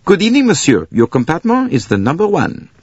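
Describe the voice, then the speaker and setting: A man's voice: sits high, huge pitch swings, fast talker, slightly rough, no echo, muffled recording, voice pushed up, heavily acted. A man voicing an obliging middle-aged sleeping-car conductor in an audiobook, with a respectful, emphatic manner. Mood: courteous. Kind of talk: audiobook character voice.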